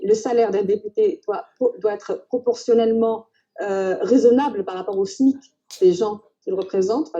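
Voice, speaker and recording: A woman's voice: medium pitch, mellow. A woman moderating a political debate, politely stepping in to clarify and pass the floor, on dull lo-fi audio. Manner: polite, interjecting to clarify and hand over.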